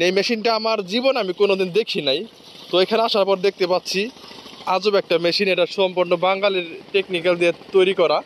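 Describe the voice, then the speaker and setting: Clear outdoor machine and traffic noise surrounds a man with a Bangladeshi accent and a fairly high voice. He narrates a vlog on the spot, marvelling and circling back over the same points as he explains. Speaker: video blogger. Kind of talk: on-location vlog commentary. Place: outdoors at a riverside work site. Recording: noisy outdoor recording with vehicle ambience.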